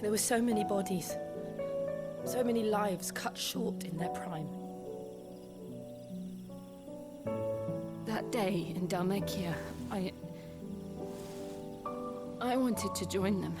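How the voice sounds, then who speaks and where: An actress playing a grave, tender young lady worn by suffering in a game, soft and often breathy. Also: mid-range voice, slow pace, pauses often, loud music behind the voice, close to an everyday voice. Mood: anguished, sorrowful. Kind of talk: video game cutscene acting.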